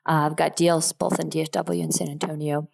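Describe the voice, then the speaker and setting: Podcast guest: woman, thirties, from Switzerland, slightly low voice, conversational delivery. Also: little pitch movement, a little fast, vocal fry, darkish tone. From Geneva, Switzerland. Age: 30s. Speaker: podcast guest, real estate investor. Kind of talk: casual interview conversation.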